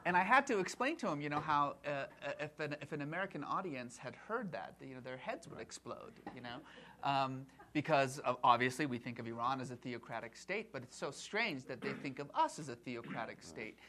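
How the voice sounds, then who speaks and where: A man's voice: medium pitch, sing-song, fairly quick, sharp tone, a little hiss or hum. A man on a discussion panel who tells a story from his own travels, hesitant and full of fillers. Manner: anecdotal, hesitant with many fillers. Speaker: panel guest.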